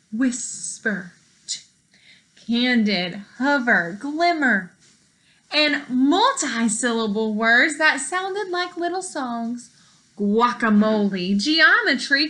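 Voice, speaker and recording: Bright, high-pitched woman reading to children slowly. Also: rough recording. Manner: expressive, teacherly, addressing young listeners directly. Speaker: storyteller reading a picture book to children. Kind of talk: children's read-aloud, pausing to explain words and ask questions.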